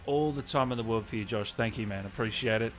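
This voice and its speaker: A man hosting a casual livestream, reading out viewer messages and thanking people with effusive, drawn-out, hyped shout-outs. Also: fairly low pitch. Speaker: livestream host and channel owner. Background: music playing behind the voice.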